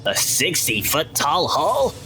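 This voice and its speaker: A man's voice: a little high, wildly swooping pitch, takes it slowly, pushed and bright, voice pushed up, heavily acted. A man doing a grumpy workshop artificer. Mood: chatty. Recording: background music. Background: music.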